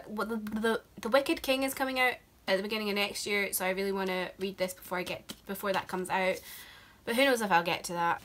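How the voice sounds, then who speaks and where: A woman's voice: mid-range voice, fairly bright tone. A young woman, chatting to camera as a book vlogger. She has a cold and sounds nasal, and she rambles with lots of fillers. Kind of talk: casual chat to camera.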